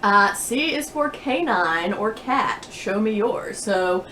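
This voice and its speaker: A woman's voice: medium pitch, expressive, slow, bright, forceful tone. Female book vlogger, conversational and chatty, roomy sound with faint background noise. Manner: chatty, laughs easily. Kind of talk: casual chat to camera answering tag questions. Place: bedroom indoors.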